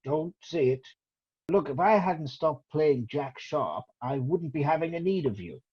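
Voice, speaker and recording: A man acts out a cranky old British screen actor, boastful and easily indignant. His husky voice swoops up and down, on a slightly dull, muffled recording. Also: fairly high pitch, expressive, unhurried. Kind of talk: amateur script table read.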